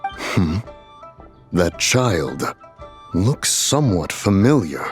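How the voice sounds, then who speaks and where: A grandiose, wry immortal from a game cutscene, speaking slowly in a fairly low voice. The phrasing is formal and archaic, over faint music. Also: male voice, sing-song, heavily acted. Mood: grave. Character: wry, grandiose male immortal adeptus, dignified and proud.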